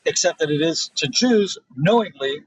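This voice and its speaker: A man from Israel answering interview questions thoughtfully, pausing often with fillers and restarts. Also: a little high, animated, breathy edge. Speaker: guest. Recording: distant, dull remote audio.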